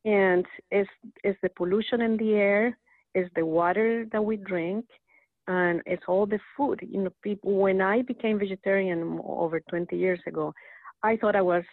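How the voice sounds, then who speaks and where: A woman's voice: medium pitch, moderate pace, no echo. A woman from Rica, a guest talking earnestly and eagerly in a casual chat, often restarting her words. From Costa Rica. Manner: earnest, animated, with frequent restarts. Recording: tinny phone line, dry.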